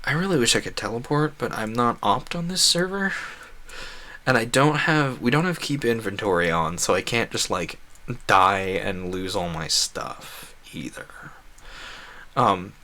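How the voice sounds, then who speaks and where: A man's voice: lowish voice, expressive, measured pace, pushed and bright. A man hosting a gaming livestream, rambling casually and earnestly, giving opinions and acting out imagined quotes. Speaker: livestream host.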